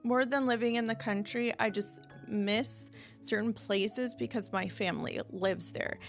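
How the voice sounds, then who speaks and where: A woman's voice: fairly high pitch, unhurried, gravelly, soft background music. A young woman from the United States, talking candidly and reflectively to her viewers in an unedited vlog, with light laughs now and then. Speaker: vlogger. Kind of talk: candid unedited monologue to camera.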